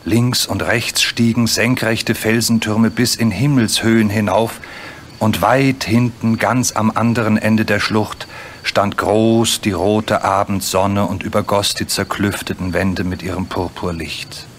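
A man's voice: lowish voice, fairly even intonation, measured pace, slight background noise. A man narrating a scripted children's story as its storyteller. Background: music.

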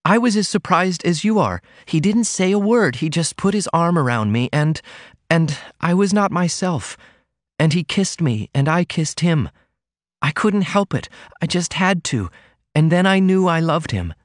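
A man's voice: a little high, expressive, measured pace, dry recording, studio quality, pitched above the performer's own voice. A man voicing a trembling young woman in love. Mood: pleading.

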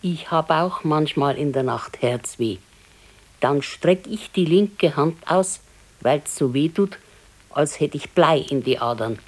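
A woman playing an aging, resigned, gently chiding wife in a radio play, with a southern German, Bavarian accent and a matter-of-fact manner. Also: low voice, animated, slow pace, exaggerated voice. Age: older. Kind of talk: naturalistic radio play acting.

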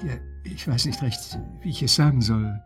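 An actor playing a smooth-talking, self-assured seducer in a German radio drama, with expressive delivery. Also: male voice, medium pitch, normal speed, soft background music, natural voice. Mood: shy, courting. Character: smooth-talking male seducer, shyly charming, privately cynical and cocky.

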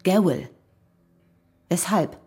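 An actress playing a weary, reproachful but self-controlled wife in a radio drama. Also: female voice, fairly low pitch, lively intonation, extremely slow, warm tone, husky, full character voice. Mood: guarded.

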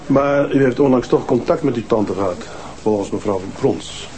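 An actor playing a calm middle-aged police detective who questions people in a measured way, in a Dutch TV drama. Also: male voice, mid-range voice, slight background noise, natural voice.

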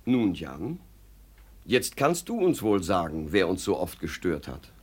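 An actor playing a kindly, moralizing village pastor with an unctuous preaching manner, in 1950s German radio drama style. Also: male voice, deep voice, big pitch swings, slightly rough, full character voice. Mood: conciliatory. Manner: unctuous, measured preaching with hesitations.

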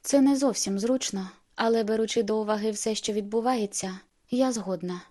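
A woman's voice: mid-range voice, moderate pace, mellow, close to an everyday voice. A woman voicing a confident female vampire seer in an audiobook, smug and soothing in manner. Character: self-assured, cool female vampire seer.

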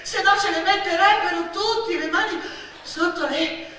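Excitable young girl, echoey hall. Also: very high voice, normal speed. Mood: exalted. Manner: breathless, repeating words, building to climaxes. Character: excitable young girl recalling country childhood adventures. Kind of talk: theatrical monologue, radio theatre. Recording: echoey hall from far off, noisy.

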